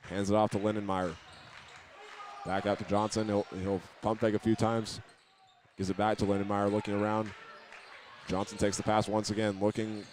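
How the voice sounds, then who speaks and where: Low-voiced man calling basketball, fairly even tone. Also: normal speed, long pauses. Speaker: play-by-play basketball announcer. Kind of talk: live radio play-by-play sports commentary. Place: indoor high school basketball game. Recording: live gym recording with background noise.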